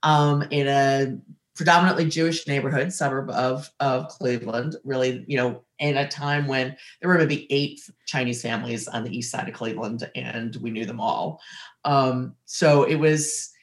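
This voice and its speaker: A woman's voice: rumbling low, fairly even intonation, normal speed. A woman in her sixties, raised in Ohio, recalls her past as an interview guest. She speaks reflectively, circling back with frequent fillers and restarts. Her voice carries a noticeable room echo. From Ohio, USA. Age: 60s. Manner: thoughtful, many fillers and restarts. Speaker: interview guest, former corporate litigator.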